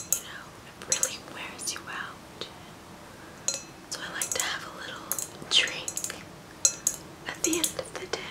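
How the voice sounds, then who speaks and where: A woman's voice: high-pitched, bright, forceful tone, heavily acted. Woman playing a whispering, vain, tipsy rich mom. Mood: boastful, languid. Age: young adult. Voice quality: breathy whisper.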